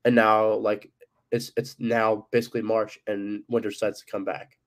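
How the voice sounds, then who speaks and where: A man's voice: on the low side, level tone, moderate pace. A young man with a stuffy, cold-clogged voice, hosting a casual sports podcast and rambling with lots of ums and likes. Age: young adult. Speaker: podcast host.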